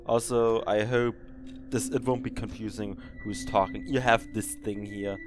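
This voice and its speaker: A man's voice: on the low side, fairly even intonation, unhurried. Young man, casual podcast host, rambling, teasing, strong music bed underneath. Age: 20s. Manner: rambling, self-correcting, teasing his past self. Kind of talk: casual chat reacting to an old recording.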